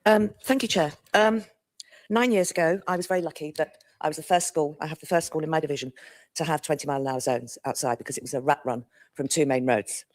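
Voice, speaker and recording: Brief: councillor, fairly high voice, brisk and earnest, lots of ums. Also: male voice. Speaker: local councillor. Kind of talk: council meeting speech. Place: council chamber.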